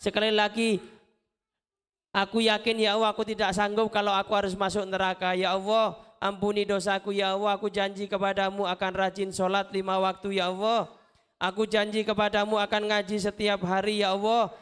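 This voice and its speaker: A man giving an animated, interactive Islamic motivational talk to schoolchildren, asking questions and repeating phrases. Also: high-pitched, fairly quick, smooth. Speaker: children's storyteller and motivational speaker. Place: live event at a school before children.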